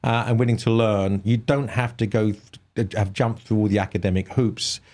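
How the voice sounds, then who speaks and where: A man with a softened Cockney accent, a writer talking as a guest in a casual conversation, emphatic and prone to repeating words as he starts a thought. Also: fairly low pitch, steady tone, normal speed. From England.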